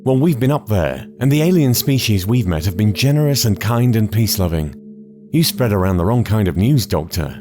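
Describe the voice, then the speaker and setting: A man's voice: fairly low pitch, animated, brisk, warm tone, pitched above the performer's own voice, lightly acted. A man voicing an earnest, idealistic young woman in an audiobook. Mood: insistent. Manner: earnest and insistent.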